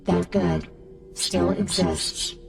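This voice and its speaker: A woman voicing a solemn, cryptic guardian spirit king in a video game, with a creaky, gravelly voice and a grandiose manner. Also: fairly low pitch, fairly even intonation, distant mic, exaggerated voice. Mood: solemn, weary. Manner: slow, grandiose, pronouncing. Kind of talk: scripted video game dialogue. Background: music.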